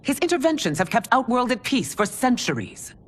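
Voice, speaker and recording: A woman's voice: a little high, big pitch swings, fairly quick, a little hiss or hum. A woman playing a stern, regal, mature empress in a video game, speaking formally and with command. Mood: firm. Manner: formal, imperious. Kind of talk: dramatic video game acting.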